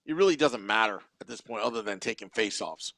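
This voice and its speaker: A man's voice: fairly low pitch, lively intonation, brisk, vocal fry, fairly bright tone, dry recording. A man hosting a live hockey game-day show, talking into a close studio microphone. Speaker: sports podcast host.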